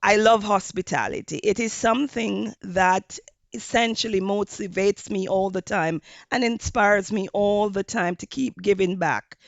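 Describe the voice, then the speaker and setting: Jamaican woman, gravelly voice, measured interview answers, dry lo-fi recording. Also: mid-range voice, dry recording. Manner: measured, with frequent fillers and restarts. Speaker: hospitality professor, guest.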